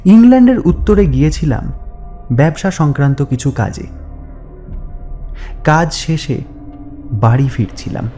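Slow, mellow male horror narrator. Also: on the high side, animated, soft background music. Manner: deliberate, pausing between short phrases. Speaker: story narrator. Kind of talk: scripted horror story narration.

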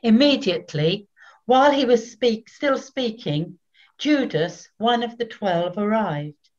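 An older woman narrating scripture from a script in a measured way, pausing between phrases, recorded at home on a webcam. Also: a little high, highs cut off. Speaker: narrator, Evangelist reader. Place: indoors in a home room.

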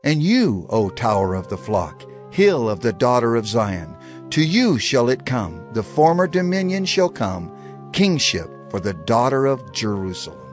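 A male audio Bible narrator reads in a solemn, dramatized style. His delivery is declamatory, with a middling pitch that moves in lively swings. Faint music plays behind him. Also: a little slow. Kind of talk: dramatized scripture reading, solemn oratory.

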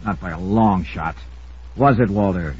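An actor playing a shrewd, sardonic insurance investigator, wry and pressing, in an old radio detective drama. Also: male voice, sits low, a little slow, darkish tone, a little hiss or hum. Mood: accusing. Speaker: star and narrator of the show. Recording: muffled old radio recording.

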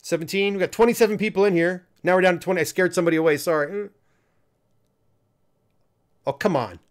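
A man in his fifties with a fairly high voice, chatting casually on a livestream from a small home room. He reads viewer comments aloud, laughs easily and keeps nudging viewers to press the like button. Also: fast. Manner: chatty, laughs often, coaxes viewers to hit like. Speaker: livestream host reading viewer chat. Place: home studio room, indoors.

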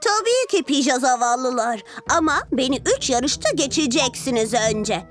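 A woman's voice: high voice, expressive, moderate pace. A woman voicing a boastful, taunting young boy ninja villain with theatrical delivery in a dubbed children's cartoon. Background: background music.

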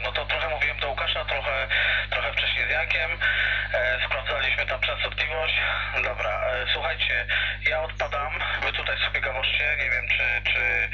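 A man's voice: medium pitch, sharp tone. A man chatting casually over CB radio in a rambling, hesitant way. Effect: CB radio transmission. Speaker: CB radio operator. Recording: muffled, hissy CB radio with hum.

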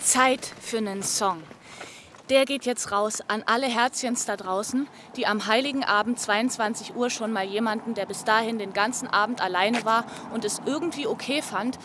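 A woman's voice: fairly high pitch, normal speed, a bit bright, lightly acted. An actress playing a wry, tender-hearted, playful woman talking casually in an audio drama. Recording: noisy ambient location sound.

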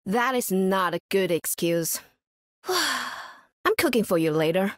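An actress playing a kind, upbeat young scientist who talks like a caring aunt, with a gentle lilting manner. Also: female voice, medium pitch, very animated, takes it slowly, no echo, studio quality. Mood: caring, chiding.

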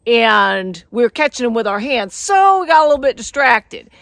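High-voiced woman guide talking outdoors. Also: big pitch swings, moderate pace, vocal fry, fairly bright tone, dry recording, clean studio sound. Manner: folksy, plainspoken storyteller. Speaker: Maine guide, show host. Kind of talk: casual outdoor demonstration. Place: outdoors in the snow by canoes. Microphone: camera mic, handheld at times.

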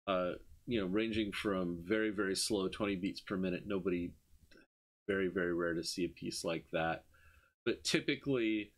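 Low-voiced man giving an informal lecture. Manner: conversational, self-questioning explanatory delivery. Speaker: university music lecturer. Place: indoor room, screen-recorded lecture with webcam.

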